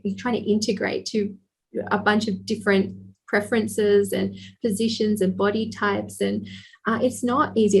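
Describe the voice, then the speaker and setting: A husky-voiced woman talks in a fairly level, even tone. She explains things earnestly as a podcast guest and laughs lightly now and then. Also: mid-range voice. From Australia. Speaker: sex therapist guest. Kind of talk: podcast interview.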